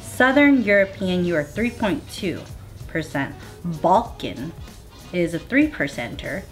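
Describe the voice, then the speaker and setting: A woman's voice: lowish voice, very animated, a little slow, soft background music. A woman chatting casually as a vlog co-host, partly reading results aloud, with a playful, teasing manner. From Vietnamese family.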